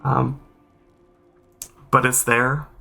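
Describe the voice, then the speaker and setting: A man's voice: mid-range voice, little pitch movement, extremely slow, gravelly, pushed and bright, soft background music. A man hosting a game accessibility review, explaining things informally and thinking aloud as he goes. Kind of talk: informal spoken walkthrough and review commentary.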